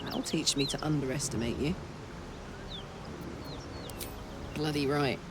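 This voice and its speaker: A woman's voice: low-pitched, brisk, noisy background, lightly acted. An actress with an English accent playing a brash, blunt but friendly warrior woman in a fantasy game, earthy and hearty. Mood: defiant, taunting. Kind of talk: video game character acting.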